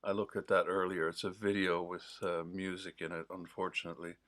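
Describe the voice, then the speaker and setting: A man with a mild Newfoundland accent, hosting a livestream in a casual, rambling, digressive chat full of pauses. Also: low-pitched, flat delivery, fairly bright tone. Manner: digressive, with many pauses and fillers. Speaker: host of a livestream.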